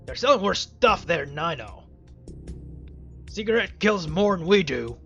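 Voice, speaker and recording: A man voicing a hostile, defiant male drug dealer in a visual novel, loud, aggressive and sneering. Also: high-pitched, big pitch swings, unhurried, dull audio, soft background music, exaggerated voice. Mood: angry, defiant.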